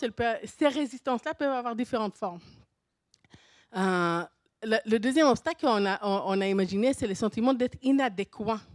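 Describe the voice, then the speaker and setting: A middle-aged woman with a foreign accent in French, not her first language, talks through a conference presentation. Her voice sits at a middle pitch, and she talks in an animated way, thinking aloud with restarts and light laughs. The sound is dry, with no room echo. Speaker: university professor presenting at a teachers' conference.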